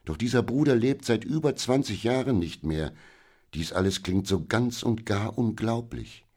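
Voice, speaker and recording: Guy reading and doing a flustered lady companion, his low voice pushed up higher, urgent and pleading, storybook audiobook. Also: lively intonation, normal speed, a little mellow, breathy edge. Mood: excited. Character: excitable middle-aged woman companion, breathless talker. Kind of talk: audiobook character voice.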